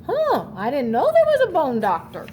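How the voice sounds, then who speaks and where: A woman's voice: high-pitched, wildly swooping pitch, normal speed, darkish tone, slight background noise, pitched above the performer's own voice. A woman voicing a curious, eager young child in a children's storybook, with an inquisitive manner. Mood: puzzled.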